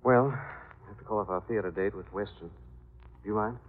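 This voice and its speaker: An actor playing a determined, hot-tempered male detective hero with crisp, dramatic delivery in an old radio drama. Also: on the low side, normal speed, natural voice. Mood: brooding, urgent. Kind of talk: 1940s radio drama acting. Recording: narrow, hissy 1940s radio recording.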